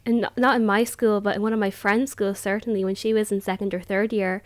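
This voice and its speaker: A nineteen-year-old woman with an Irish accent talks in a casual roundtable. She is chatty and conversational, telling personal stories and acting out bits of what she thought or said. Her pitch is average for a woman. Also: fairly quick. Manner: chatty, animated filler "like", quotes herself. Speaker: roundtable participant. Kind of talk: casual personal storytelling in group discussion.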